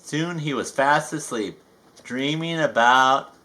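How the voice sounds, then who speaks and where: A man's voice: mid-range voice, slow pace, pushed and bright. A man reading a children's storybook aloud, folksy and playful, with chatty asides. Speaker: storybook reader.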